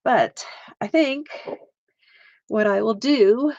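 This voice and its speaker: Woman, slight Canadian accent, live-stream craft host, giggly chat, slightly high voice, close dry sound. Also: takes it slowly, a little mellow, no echo, dull audio. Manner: chatty, giggly, talks to viewers while crafting.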